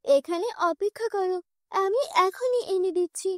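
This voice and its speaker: A woman's voice: very high-pitched, measured pace, no echo, clean studio sound, pitched above the performer's own voice. A woman voicing a cruel, scolding, bossy aunt in a children's cartoon story. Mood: sarcastic. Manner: sharp, bossy, sarcastic. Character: cruel, scolding aunt who begrudges her orphaned nieces.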